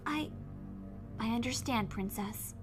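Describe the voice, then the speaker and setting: Young pony heroine, female actor: high, earnest, anxious student, audio drama with background music. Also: slow pace. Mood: subdued. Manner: earnest, questioning. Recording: music behind the voice.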